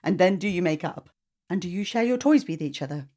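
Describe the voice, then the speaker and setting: A woman reading a storybook aloud to children in an expressive narrating style. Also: on the low side, fairly quick.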